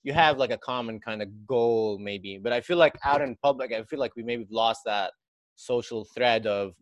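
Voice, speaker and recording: A man's voice: mid-range voice, moderate pace, dry recording, highs cut off. A man from Lebanon who talks thoughtfully and asks the others questions in a casual conversation.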